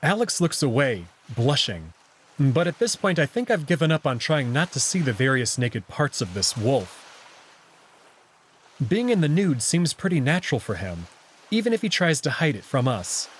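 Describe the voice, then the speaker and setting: A man's voice: medium pitch, sing-song, normal speed, slight background noise. A man reading first-person story narration aloud in a scripted visual novel style.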